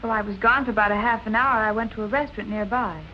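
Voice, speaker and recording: An actress playing an earnest, anxious young fiancée in a 1940s film noir. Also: female voice, on the high side, steady tone, fast, bright, forceful tone, a little hiss or hum. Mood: uneasy. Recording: muffled old film soundtrack.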